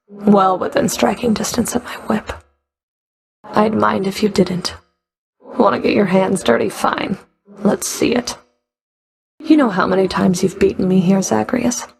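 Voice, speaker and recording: Casting: stern female Fury guard for a video game; gravelly creak, mid pitch, cool sardonic taunts, curt commands. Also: a little mellow. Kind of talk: video game character voice lines.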